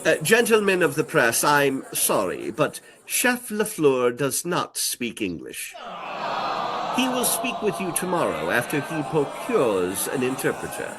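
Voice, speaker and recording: A man's voice: on the high side, huge pitch swings, a loud crowd, full character voice. A man playing a polite, formal attaché to a celebrity chef in a comic audio drama. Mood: polite, composed. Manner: formal, courteous, measured.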